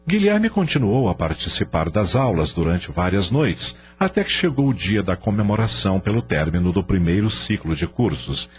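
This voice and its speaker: Deep Brazilian man narrating with dramatic swings. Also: sing-song, normal speed, few pauses, dull audio. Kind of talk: dramatic scripted radio novel narration. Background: music.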